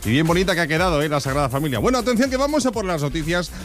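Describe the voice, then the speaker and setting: A man's voice: fairly high pitch, lively intonation, racing. A man from Spain with a Castilian accent presenting a morning radio show in an upbeat, chatty way. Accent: Castilian Spanish. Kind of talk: lively radio banter and presenting. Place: radio studio. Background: music bed.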